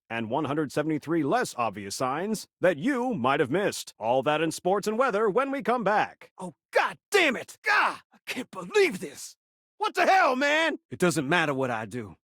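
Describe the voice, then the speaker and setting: A man's voice: sits high, fairly bright tone, dry recording, studio quality. A man voicing a video game talking television, a flamboyant showman who speaks in theatrical rhymes with a self-pitying, dramatic streak. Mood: exasperated outburst, then dejected, self-pitying. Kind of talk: video game character voice acting.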